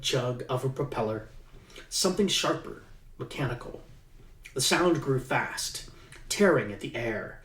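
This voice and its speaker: A man reads his own novel aloud at a slow, deliberate pace. His voice sits mid-range, heard in a reverberant room from a mic set some way off. He pauses with fillers when chatting and puts more emphasis into the tense passages. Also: mid-range voice. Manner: unhurried, with halting fillers in the chat and livelier emphasis in the reading. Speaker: author. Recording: echoey room, mic at a distance.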